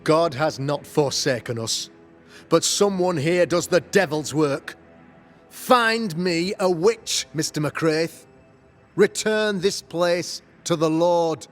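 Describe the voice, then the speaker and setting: Pompous Puritan governor with music behind. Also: male voice, fairly high pitch, slow. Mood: righteous, stern. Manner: pompous, formal, preacherly. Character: self-righteous Puritan governor hunting witches in a colony. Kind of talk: dramatic video game acting.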